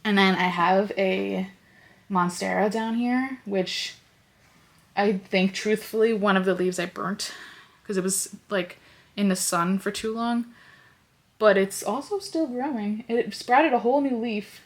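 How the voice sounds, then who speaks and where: A young woman with a stuffy, congested nose, chatting casually in her bedroom and rambling with lots of fillers. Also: medium pitch. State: congested, sniffly. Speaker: lifestyle vlogger. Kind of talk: casual vlog chat. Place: bedroom at home.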